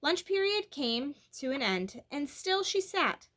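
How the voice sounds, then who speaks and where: A woman reads a children's storybook aloud. Her voice is bright and sits a little high. She delivers the narration expressively, with animated emphasis. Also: unhurried. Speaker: storybook reader.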